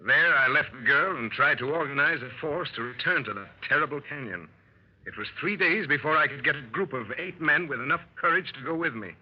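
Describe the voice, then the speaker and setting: An actor playing a seasoned American adventurer who narrates his story, brisk and commanding, with a husky voice, in a 1930s radio play. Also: on the high side, animated. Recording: muffled 1930s radio recording.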